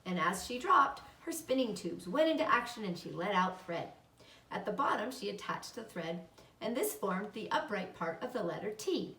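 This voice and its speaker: A woman's voice: medium pitch, sing-song, normal speed, sharp tone. A woman reading a children's story aloud as narrator. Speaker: storybook reader. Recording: roomy echo, slightly distant mic.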